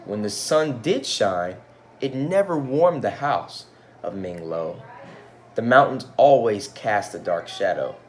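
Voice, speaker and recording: A man's voice: on the low side, animated, slow pace. A man reading a picture book aloud as a teacher, with casual asides to young listeners.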